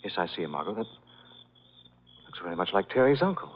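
An actor playing a composed, commanding gentleman sleuth with an urbane, decisive manner in a 1940s-style radio mystery. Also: low voice, big pitch swings, quick pace, dull audio, slight background noise. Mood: probing. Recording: thin, band-limited old radio recording.

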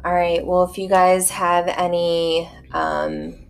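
A woman's voice: fairly low pitch, flat delivery, takes it slowly, faint music behind. A woman co-hosting a casual true-crime podcast, blunt and wry, with joking asides. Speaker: podcast co-host.

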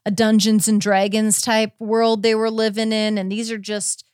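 A woman's voice: medium pitch, level tone, normal speed, darkish tone, smooth, no echo, clean studio sound. A woman podcast host chatting casually about a case, often hesitating and correcting herself as she goes. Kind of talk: casual chat recapping a court case.

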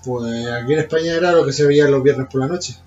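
A man's voice: medium pitch, quick pace, a little mellow. A man in his forties from La Mancha in Spain, with a La Mancha Spanish accent, co-hosting a podcast and joking around. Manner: joking, teasing, hums song lines. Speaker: podcast co-host. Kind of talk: casual chat about childhood TV songs. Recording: dull lo-fi sound with faint music behind.

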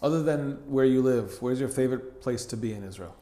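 A man's voice: medium pitch, fairly quick, dark tone. A man hosting an interview, asking short questions and dropping brief acknowledgments. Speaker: podcast host and interviewer. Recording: echoey room sound.

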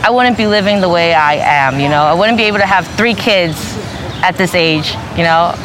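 A woman's voice: lowish voice, normal speed, noisy background. A woman in her twenties chatting in an interview, dropping "you know" often. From New York. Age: 20s. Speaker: interviewee, family store worker. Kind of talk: casual interview.

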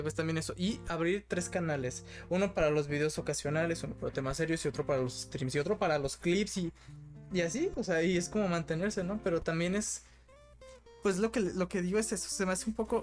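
A man with a Mexican accent chatting as a podcast guest, rambling and tagging his points with quick questions. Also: a little high. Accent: Mexican Spanish. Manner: rambling, keeps adding tag questions. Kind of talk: casual podcast chat.

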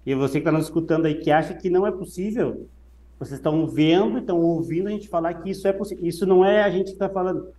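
A man with a mellow, slightly high voice co-hosts a running podcast in a relaxed, conversational way. He explains his points patiently and repeats key phrases for emphasis. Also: quick pace. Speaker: running coach, podcast co-host. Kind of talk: casual podcast discussion.

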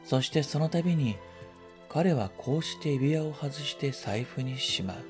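A man reading a first-person literary story as scripted narration, in a quiet, reflective, measured manner. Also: mid-range voice, lively intonation, faint music behind. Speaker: story reader.

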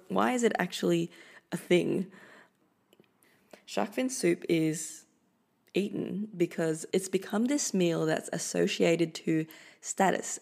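A woman's voice: fairly low pitch, creaky, a little mellow. A young woman who speaks Filipino as her first language, hosting her own podcast in a chatty, informal way with light laughter. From Philippines. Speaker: podcast host. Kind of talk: solo podcast monologue. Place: Australia.

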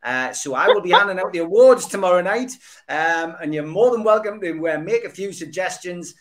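A man hosts a casual podcast, his voice sitting a little high for a man. He talks in a jokey, chatty way and reads viewer comments aloud. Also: animated, moderate pace. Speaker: podcast host. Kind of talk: casual chat.

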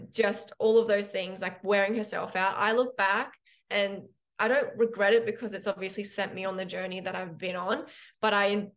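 A young woman who works as a personal trainer and health coach, speaking frankly and reflectively as a podcast guest, telling her stories with some animation. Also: medium pitch. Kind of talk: conversational podcast interview.

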